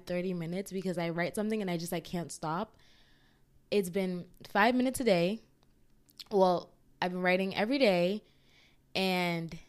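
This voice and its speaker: Young woman, solo podcast host; casual, excitable chatter, smooth and clear tone. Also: medium pitch. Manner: chatty and excitable, full of fillers.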